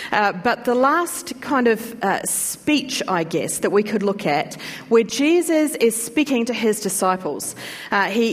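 A woman's voice: medium pitch, in a large hall. A woman with a New Zealand accent preaching a sermon in a church, chatty and talking straight to the congregation. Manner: chatty, warm asides to the congregation. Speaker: pastor. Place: church hall.

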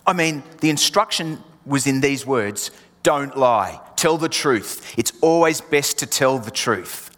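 A man's voice: mid-range voice, animated, unhurried, a bit bright. A man with an Australian accent, born in England, preaching a sermon with emphatic repeated refrains that sometimes drop to a near whisper. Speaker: pastor. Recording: long hall reverb.

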